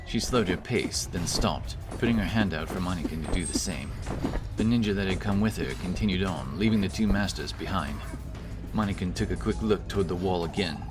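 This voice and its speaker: A man narrating a scripted fantasy audiobook in a measured, dramatic way. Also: low voice. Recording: music bed under voice.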